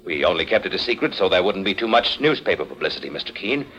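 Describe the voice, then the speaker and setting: An actor playing a bitter, self-assured widowed husband, speaking with formal insistence in a 1940s radio drama. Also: male voice, sits low, sing-song, fast talker, sharp tone, full character voice. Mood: explaining, composed. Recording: muffled vintage 1940s radio recording.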